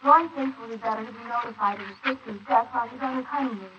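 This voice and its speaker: An actress playing a hard, scheming, impatient young woman in a 1940s radio play, brisk, scornful and coaxing. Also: a little high, close to an everyday voice. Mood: businesslike. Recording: muffled, hissy 1940s wire recording.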